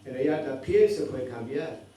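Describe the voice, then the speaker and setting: A man giving a scripture class, often pausing to search for words and checking in with his listeners with a questioning tag. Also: mid-range voice, animated, dark tone. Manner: searching for words, constant "¿no?" tags. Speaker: spiritual teacher. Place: indoor temple hall. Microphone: microphone at a distance. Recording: very echoey hall, distant mic, faint noise.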